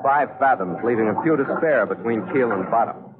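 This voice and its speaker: A seasoned sea captain, acted by a man, tells his tale in the terse manner of 1940s radio adventure. The recording is tinny and narrow, with music clearly behind his medium-pitched voice. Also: animated, fairly quick, lightly acted. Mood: grave, suspenseful. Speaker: lead actor and narrator.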